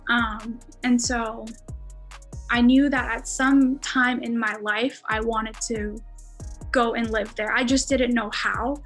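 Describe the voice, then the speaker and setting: A woman's voice: fairly high pitch, fairly even intonation, slow, a bit bright, faint music behind. Chatty young woman, Armenian native speaker. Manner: chatty, many "like"s, laughs often. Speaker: podcast guest. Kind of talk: casual storytelling.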